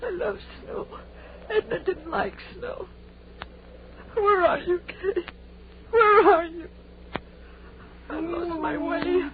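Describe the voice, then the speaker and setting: A woman's voice: very high-pitched, slow pace, pauses often, exaggerated voice. An actress playing a grief-mad young woman lost in a storm, with theatrical, wailing, repetitive delivery in old-time radio horror style. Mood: anguished searching. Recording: tinny, noisy vintage radio recording.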